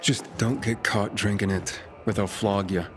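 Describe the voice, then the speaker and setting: A man acting a level-headed, guarded wanderer hero in a video game cutscene, speaking calmly and drily. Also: fairly low pitch, normal speed, background noise, close to an everyday voice. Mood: dry, resigned. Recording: music behind the voice.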